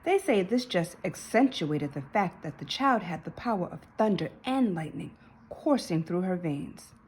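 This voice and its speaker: Animated, slightly husky woman reading a storybook. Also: fairly low pitch, rough recording. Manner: animated, dramatic emphasis with drawn-out words. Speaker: storybook reader. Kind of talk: expressive children's read-aloud narration.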